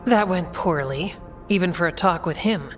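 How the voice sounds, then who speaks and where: An actress playing a practical, level-headed soldier in a video game, speaking in a dry, matter-of-fact way. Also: female voice, on the low side, moderate pace, lightly acted. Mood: dry, concerned. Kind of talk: dramatic video game cutscene acting. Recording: faint music behind the voice.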